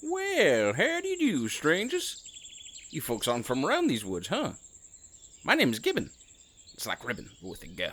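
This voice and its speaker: A man's voice: fairly high pitch, huge pitch swings, normal speed, long pauses, fairly bright tone, a little hiss or hum. A man voicing a friendly, polite little frog-like creature with a folksy Southern US accent in an audio drama.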